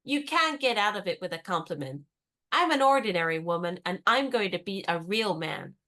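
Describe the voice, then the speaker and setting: A woman playing a bold, bright young heroine. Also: mid-range voice, expressive, moderate pace. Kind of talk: radio play acting.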